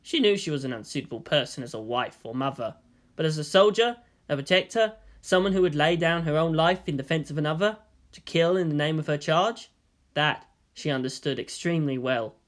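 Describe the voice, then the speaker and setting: A woman reading fan fiction aloud as an expressive storyteller, in audiobook-style narration. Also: low-pitched, fairly quick.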